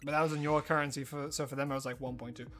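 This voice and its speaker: An Australian streamer chatting casually while gaming, rambling and excitable, breaking into high squeals of surprise. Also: rapid-fire, faint music behind.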